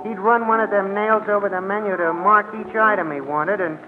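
An actor playing a dim, good-natured, rambling bartender with folksy, ungrammatical city speech, as comic relief in a 1940s radio drama. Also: male voice, high-pitched, steady tone, fairly quick, close to an everyday voice. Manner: rambling, naive. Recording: tinny vintage radio broadcast with music.

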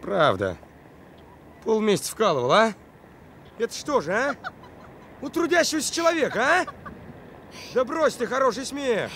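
A man's voice: very high voice, sing-song, normal speed, pauses often, fairly bright tone, slight background noise, heavily acted. An actor playing an indignant, whining working man who has been robbed, exclaiming and complaining in a radio play. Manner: exclamatory, complaining, repeats a questioning "eh?".

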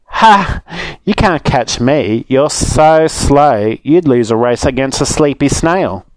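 A man's voice: mid-range voice, moderate pace, a little mellow, no echo, natural voice, rough recording. A man doing a bratty, mocking little girl. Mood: taunting.

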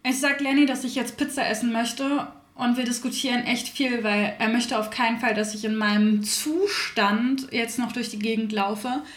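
A woman's voice: fairly high pitch, moderate pace, hardly any pauses, roomy sound, close to an everyday voice. A woman voicing an outspoken young mother recounting her birth, in a theater radio play monologue. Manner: forceful, outspoken.

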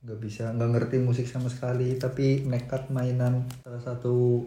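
A man's voice: medium pitch, monotone, moderate pace, mellow, roomy sound. A young man, hosting a casual podcast chat, joking and laughing often with slangy remarks. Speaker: podcast host.

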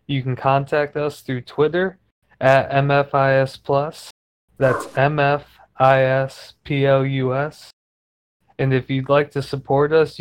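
Man, podcast host, smooth resonant voice, friendly, informal, casual fillers. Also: medium pitch. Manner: friendly, informal, uses filler words like "like" and "you know".

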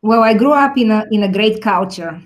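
A woman's voice: mid-range voice, normal speed. A woman in her forties from Bulgaria, giving thoughtful interview answers with frequent fillers and restarts. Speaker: guest, tech executive.

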